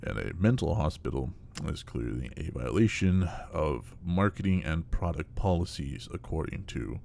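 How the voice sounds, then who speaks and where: Man acting very deep, gravelly official. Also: animated, moderate pace, darkish tone, slight background noise, heavily acted. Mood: formal, detached. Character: dry male official reading an investigation report. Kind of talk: horror audio drama, read-out official report.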